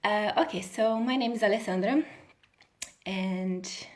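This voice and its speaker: A woman's voice: mid-range voice, measured pace. A woman in her thirties from Brazil, a healing coach talking casually on a live video and laughing often. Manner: chatty, laughs often, restarts sentences.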